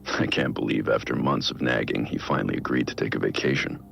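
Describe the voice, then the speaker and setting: An actor playing a brooding, guilt-ridden middle-aged vigilante who records grave, measured, introspective audio logs in a video game. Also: male voice, a bit bright, full character voice. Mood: measured, reflective. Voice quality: deep, gravelly. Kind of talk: scripted audio log narration, video game acting. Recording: muffled lo-fi audio log, music underneath. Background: music.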